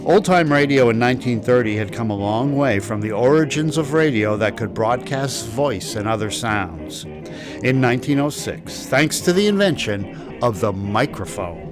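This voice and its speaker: A man narrates a scripted history in a wry, conversational storytelling way. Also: mid-range voice. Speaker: podcast host. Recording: music playing behind the voice. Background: background music.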